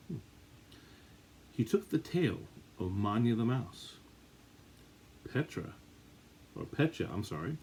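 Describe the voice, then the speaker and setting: Male children's story reader, husky tone, highly varied intonation, theatrical stress on key words. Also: a little mellow. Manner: dramatic, drawn-out emphasis and repetition. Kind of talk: animated read-aloud of a children's picture book.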